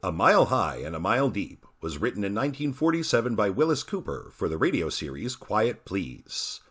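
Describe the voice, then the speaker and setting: A man's voice: fairly low pitch, very animated, fairly quick, a bit bright, husky, no room sound. A man reading scripted credits as a podcast announcer.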